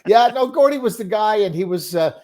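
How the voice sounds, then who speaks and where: A man of seventy from Montreal, a sports broadcaster and author, telling stories as a guest in a relaxed interview, with frequent fillers, restarts and chuckles. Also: high voice, a little fast. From Montreal, Quebec, Canada. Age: 70s. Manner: hesitant, many uh fillers and restarts, chuckles often.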